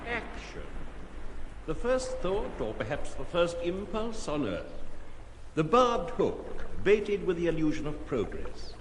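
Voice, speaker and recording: An actor playing a grandiose, cynical philosopher declaiming like an oracle in an audio drama. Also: male voice, high voice, sing-song, takes it slowly, exaggerated voice. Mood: oracular, cynical. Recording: long echoing reverb, faint noise.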